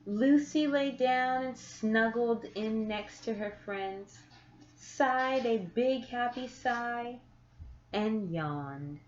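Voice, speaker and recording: Echoey, unhurried woman reading a storybook. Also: on the high side, lively intonation, slight background noise. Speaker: storyteller reading a picture book aloud. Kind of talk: children's story read-aloud. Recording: echoey room, slightly muffled sound.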